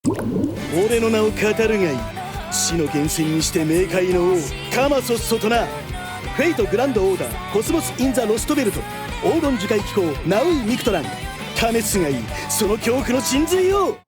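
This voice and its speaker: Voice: mid-range voice, expressive, quick pace, close to an everyday voice. A performer playing a boastful, menacing male king of the underworld in a game trailer, with music behind. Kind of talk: dramatic game trailer voice acting.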